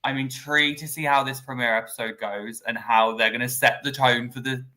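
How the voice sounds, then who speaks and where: A man co-hosts a podcast in a very bright, sharp voice at a middling pitch. He talks excitably, with plenty of "like" and quick restarts. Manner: excitable, filler-heavy, lots of "like". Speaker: podcast co-host. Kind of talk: casual chat.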